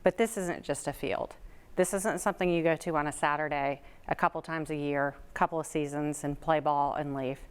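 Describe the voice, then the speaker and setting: A woman's voice: sits low, steady tone, normal speed, smooth. A woman giving a heartfelt testimonial at a fundraiser, speaking earnestly and with emphasis. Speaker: board secretary and event chair.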